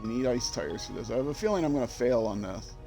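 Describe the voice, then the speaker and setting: A man's voice: medium pitch, expressive, quick pace, vocal fry, dark tone, faint music behind. An older man from Minnesota with a Minnesota accent, rambling and self-deprecating as he streams a driving game. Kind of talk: casual gameplay commentary.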